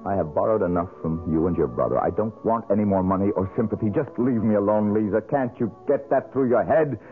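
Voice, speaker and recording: A man playing a deep-voiced, bitter, brooding painter. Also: brisk, faint music behind, close to an everyday voice. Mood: defensive, despairing. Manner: gruff, sullen, curt. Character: bitter, brooding failed painter, male, down on his luck. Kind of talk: 1970s radio drama acting. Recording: muffled lo-fi old radio broadcast.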